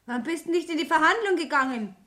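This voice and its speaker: A woman's voice: high voice, lively intonation, fast, a bit bright. A woman playing a defiant, blunt young daughter in a German radio play. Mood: reproachful. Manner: blunt, determined. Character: defiant, headstrong young Bavarian daughter of a businessman.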